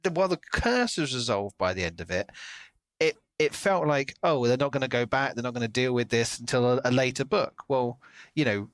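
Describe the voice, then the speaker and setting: A man with a mid-pitched voice in casual podcast discussion. He talks things through discursively, with conversational asides, and the sound is close and dry. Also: animated, fairly quick, a bit bright, dry recording. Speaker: podcast co-host discussing books. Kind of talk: casual analytical chat.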